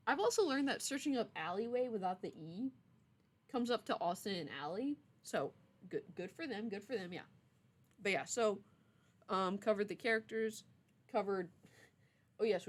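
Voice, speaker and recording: A young adult woman streamer chatting casually with her viewers in a playful, teasing, mock-stern way. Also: mid-range voice. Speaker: streamer, game writer. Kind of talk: casual livestream chat.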